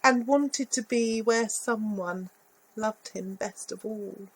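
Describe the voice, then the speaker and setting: A woman reading a picture book aloud to children, expressive, with little asides about the pictures. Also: medium pitch, slow pace, slight background noise, low-quality recording. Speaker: volunteer story reader.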